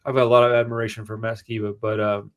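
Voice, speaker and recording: A man with a booming voice co-hosting a casual music review show, talking in an animated, slangy, rambling way. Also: quick pace. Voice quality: booming, projecting voice. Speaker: podcast co-host.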